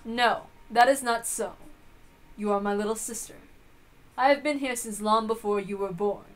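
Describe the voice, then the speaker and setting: A woman's voice: fairly high pitch, normal speed, pitched below the performer's own voice, natural voice, rough recording. Woman voicing a haughty, imperious golden witch. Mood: formal, self-assured. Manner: arrogant, formal, imperious. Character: haughty, self-proclaimed elder-sister golden witch, female. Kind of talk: dramatic visual novel reading.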